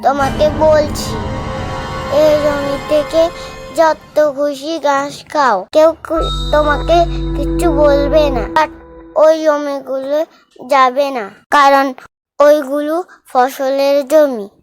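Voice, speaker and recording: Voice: high-pitched, little pitch movement, takes it slowly. A performer voicing a mischievous, stubborn village boy in a cartoon, chatty and self-assured as he explains things simply. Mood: patient, instructing. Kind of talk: cartoon voice acting. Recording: music behind the voice.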